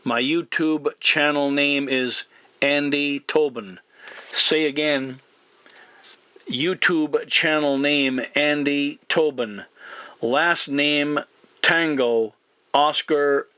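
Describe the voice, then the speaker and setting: A man working as a CB radio operator, speaking courteously and deliberately in formal radio procedure, often spelling with a phonetic alphabet. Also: a little high, steady tone, sharp tone, clean tone, dry recording. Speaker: CB radio operator and video channel host.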